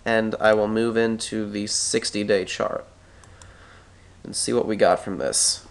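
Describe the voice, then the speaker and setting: Stuffy, gravelly man talking through charts. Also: lowish voice, steady tone. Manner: thinking aloud, halting, self-correcting. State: stuffy with a cold, clearing his throat. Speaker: stock market analyst. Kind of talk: screen-recorded chart tutorial.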